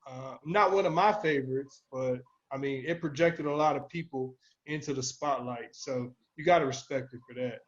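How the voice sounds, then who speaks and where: A man's voice: medium pitch, normal speed, hardly any pauses. A man co-hosting a podcast, talking casually about albums in slangy language with plenty of swearing. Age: older than the co-hosts. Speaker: podcast co-host. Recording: dull lo-fi audio.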